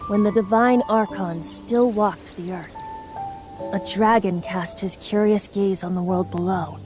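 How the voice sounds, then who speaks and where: A woman's voice: medium pitch, natural voice. A woman voicing a bard who recites an ancient legend in a video game, in a lilting, theatrical verse style. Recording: music behind the voice.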